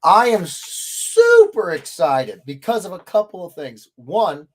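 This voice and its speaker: A man hosting a casual livestream, from the American Midwest, talking in a rambling, chatty way that keeps interrupting itself, his throat still slightly hoarse. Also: high-pitched, huge pitch swings, slow pace. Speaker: livestream host.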